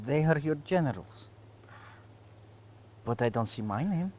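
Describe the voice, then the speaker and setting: An actor playing a wary, defensive subordinate Soviet military officer in a game cutscene. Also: male voice, a little high, lively intonation, unhurried, darkish tone, slight background noise. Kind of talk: dramatic game cutscene acting.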